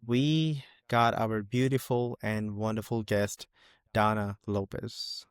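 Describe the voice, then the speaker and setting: A man's voice: fairly low pitch, little pitch movement, takes it slowly, clear, resonant tone, no echo, clean studio sound. A man hosting an interview podcast, speaking in an upbeat way with frequent laughter and small verbal slips, over a video call. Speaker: podcast host. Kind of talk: casual interview conversation.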